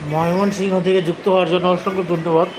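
Young male live streamer, slightly high voice, casual chat, noisy recording. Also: flat delivery, quick pace.

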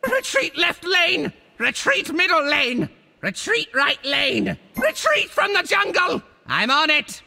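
A man's voice: very high-pitched, wildly swooping pitch, slow pace, vocal fry, pushed and bright, full character voice. A man voicing a boastful, theatrical cartoon monster villain for a video game, with a hammy, swooping delivery. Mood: commanding, urgent.

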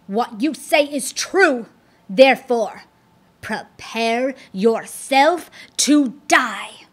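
Storybook villain: woman doing a menacing male fiend, forceful and hateful, higher-set and unhurried. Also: lively intonation, exaggerated voice. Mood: threatening. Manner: loud, threatening, hateful declamation. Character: menacing male fiend, proud prince of destruction. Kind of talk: storybook read-aloud.

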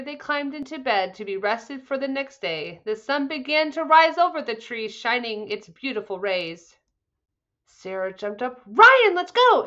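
A woman in her forties reading a children's storybook aloud in an expressive, deliberate way. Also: on the high side, highs cut off. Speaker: storybook reader. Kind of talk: children's read-aloud, scripted narration.

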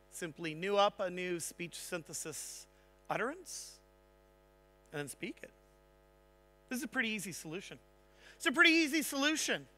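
A man speaking on a large conference stage, giving an energetic technical talk and checking in with the audience. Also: high voice. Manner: energetic, engaging, asks the audience "right?".

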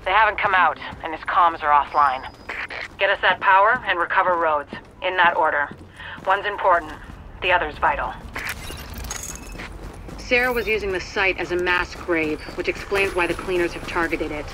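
A woman's voice: on the low side, moderate pace, long pauses, sharp tone, a little hiss or hum, rough recording. A woman playing a composed, dryly wry commander who gives businesslike mission briefings in a video game. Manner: businesslike, dry.

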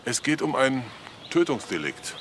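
An actor playing a dry, laconic Hamburg police detective in a crime drama, questioning people with suspicion. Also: male voice, mid-range voice, sing-song, a little slow, heavy background noise. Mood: politely probing.

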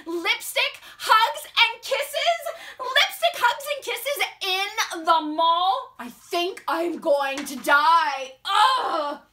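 Woman acting out a whiny, high-pitched mortified young boy. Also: animated, takes it slowly, pushed and bright, exaggerated voice. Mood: mortified, outraged. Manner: whiny, melodramatic protesting. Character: exasperated, dramatic young boy mortified by his mother. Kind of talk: theatrical children's storytelling.

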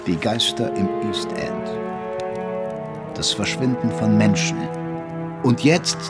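Low-voiced male drama narrator. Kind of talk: scripted audio drama narration.

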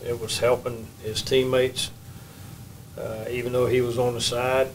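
An older man, a baseball head coach, answering questions at a postgame press conference in a plain, measured way at a table microphone. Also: mid-range voice, flat delivery, slight background noise, low-quality recording. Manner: measured, plain-spoken, many restarts. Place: press room. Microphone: table mic.

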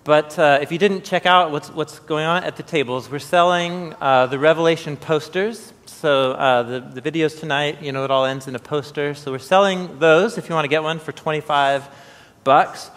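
A man giving casual live announcements from a stage as host, in an informal, halting, chatty manner. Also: on the high side, a bit bright. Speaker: host and presenter. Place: theatre-style church sanctuary stage, indoors. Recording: echoey hall, stage event.

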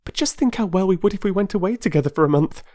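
A reader voicing an impetuous, excitable middle-aged woman who pleads in an eager rush. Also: mid-range voice, racing, pitched above the performer's own voice, natural voice. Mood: eager pleading. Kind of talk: audiobook character voice.

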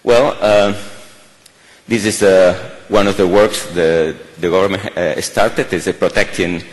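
Older man, deep voice, English, halting keynote lecture, many uh fillers, podium mic, hall reverb. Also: fairly even intonation. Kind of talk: conference lecture. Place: large conference hall. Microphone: podium microphone.

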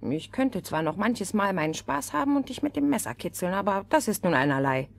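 A woman's voice: medium pitch, very animated, brisk, gravelly. A woman playing a wild, bossy, teasing young robber girl in a fairy-tale record play. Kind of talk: radio play acting.

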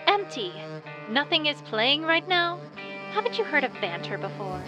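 A woman's voice: high voice, very animated, moderate pace, over music. A woman voicing a confident female bat thief, teasing and commanding in a fan audio drama. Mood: sly.

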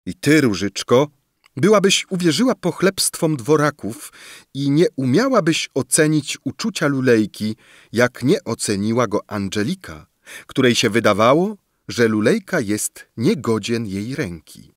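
A man reading a storybook and voicing a kindly old female fairy godmother who speaks warmly and solemnly. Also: medium pitch, sing-song, measured pace, no room sound, clean studio sound, voice pushed up. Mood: benevolent. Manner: warm, solemn, lecturing. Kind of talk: audiobook storytelling.

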